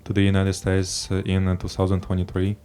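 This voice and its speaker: Deep flat-voiced young man. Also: clear, resonant tone. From Ukraine. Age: young adult. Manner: hesitant, quick answers with fillers. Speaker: contestant.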